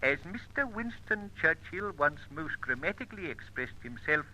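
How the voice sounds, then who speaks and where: An actor playing a suave, witty British gentleman outlaw in an old radio detective drama, wordy and mock-courteous. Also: a little high, sing-song, normal speed, slight background noise, exaggerated voice, low-quality recording. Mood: teasing, suave.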